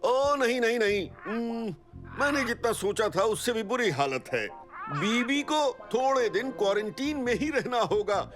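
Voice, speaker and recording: Dithery cartoon doctor, really really high voice, slow n dramatic, music behind. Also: male voice, lively intonation. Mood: worried, dramatic. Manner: theatrical, dithering. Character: eccentric, absent-minded bird doctor, fretful man.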